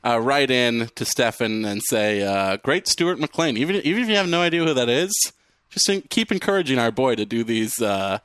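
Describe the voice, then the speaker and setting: A man's voice: medium pitch, big pitch swings, normal speed, fairly bright tone, clean tone, dry recording. Teasing man podcasting, dry sound. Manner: laughing, teasing. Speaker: podcast co-host. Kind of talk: casual comedic banter.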